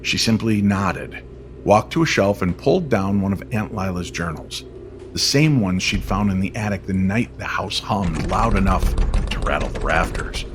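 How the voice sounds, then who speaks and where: A man narrating an eerie scripted story in an old-time radio style, measured and suspenseful. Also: low-pitched, lively intonation, noisy background.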